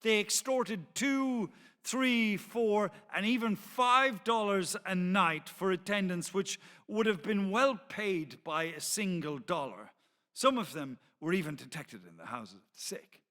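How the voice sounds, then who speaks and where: A man's voice: sits high, unhurried, sharp tone. A man reading as an authoritative, censorious 18th-century pamphleteer, in a formal, emphatic and occasionally stumbling dramatic delivery. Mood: indignant. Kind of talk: dramatic reading of a historical account. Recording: reverberant hall.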